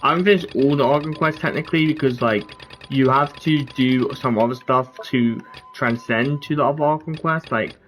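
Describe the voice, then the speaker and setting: A man's voice: medium pitch, normal speed, fairly bright tone, a little hiss or hum. A man giving casual gameplay commentary as a streamer, rambling and often trailing off mid-thought. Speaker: game streamer. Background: game music.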